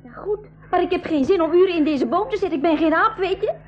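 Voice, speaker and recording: A woman playing an anxious, spirited young girl in a 1980s school radio drama, speaking excitably. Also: extremely high pitch, quick pace, faint music behind. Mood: indignant, alarmed. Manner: excitable, exclamatory. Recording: slightly muffled 1980s radio recording.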